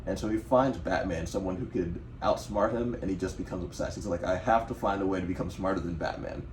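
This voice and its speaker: Male co-host, thirties, American, low voice, conversational, sitting back from the mic. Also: fast talker, a little mellow. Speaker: co-host of a TV discussion show. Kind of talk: casual chat. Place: living room, on a couch at home. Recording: echoey, distant, faint room noise.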